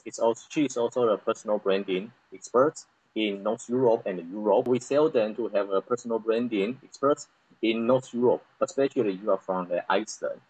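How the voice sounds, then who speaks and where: A man from Taiwan hosting an interview, halting and repeating words as he asks questions. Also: lowish voice, a little mellow, slightly rough, low-quality recording. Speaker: podcast host. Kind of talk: casual interview.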